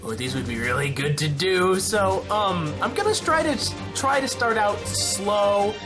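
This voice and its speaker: An excited channel host making a casual announcement, talking in an emphatic, rambling way. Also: medium pitch, a little slow. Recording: music behind the voice. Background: music.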